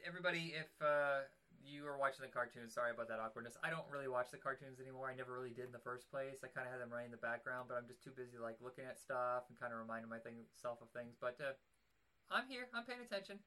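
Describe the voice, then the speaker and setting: A man's voice: medium pitch, fast talker, sharp tone, low-quality recording. A man with a nasal voice, hosting a podcast in casual, rambling chat. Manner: rambling, self-deprecating. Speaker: podcast host.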